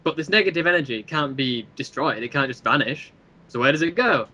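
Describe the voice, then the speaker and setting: A man speaking as a guest creator in a casual, jokey interview, animated and rambling as he explains, with mock-showman asides. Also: a little high, brisk. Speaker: interview guest, creator of a fan web series.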